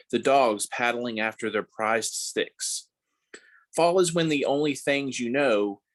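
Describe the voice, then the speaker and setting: A man with a fairly low voice reads free verse aloud. He goes slowly and plainly, giving each short phrase its own measured space. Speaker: poetry reader at a congregation service. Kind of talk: reciting a free-verse poem.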